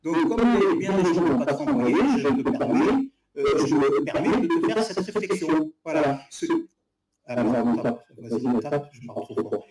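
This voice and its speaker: Man, blunt critic, halting delivery; recorded far off in an echoey room with a loud crowd behind. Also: on the high side, sing-song. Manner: blunt, critical, hesitant restarts. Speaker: guest in a live debate. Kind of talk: live stream debate.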